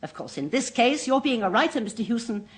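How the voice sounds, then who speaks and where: A firm, formal museum director speaking politely in an old radio drama. Her husky voice moves lively up and down. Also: a little high, brisk, heavily acted. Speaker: waxworks director. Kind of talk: 1970s radio drama acting.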